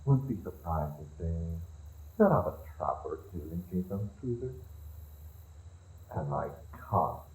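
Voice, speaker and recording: A man's voice: low-pitched, lively intonation, a little slow, long pauses, a little mellow, slightly rough, exaggerated voice, low-quality recording. A man playing a haughty evil sorcerer in a fantasy roleplay audio drama, theatrical, condescending and musing. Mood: commanding, impatient. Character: haughty evil male sorcerer leading a raid.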